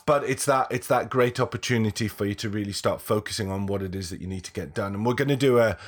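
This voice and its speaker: A man hosting a business podcast, chatty and rambling, his voice a bit croaky from a cold. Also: fairly low pitch, fast. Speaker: podcast host. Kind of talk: casual podcast talk.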